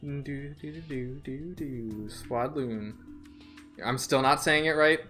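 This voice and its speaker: A man's voice: medium pitch, slow pace, over music. A young man streaming a video game, chatting casually, reading game text aloud and tripping over names. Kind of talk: casual let's-play chat.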